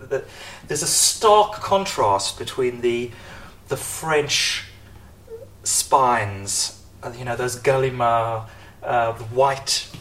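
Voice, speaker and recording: A man's voice: medium pitch, takes it slowly, fairly bright tone. A man hosting a podcast, chatting casually with a guest in a home kitchen and talking with many fillers and restarts. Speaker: podcast host. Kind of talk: casual conversational interview.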